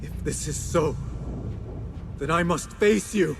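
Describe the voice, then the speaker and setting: An actor playing a troubled assassin haunted by visions in a video game, speaking gravely and deliberately as he searches for answers. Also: on the high side, over music. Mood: anguished, brooding. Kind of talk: dramatic video game acting, brooding monologue.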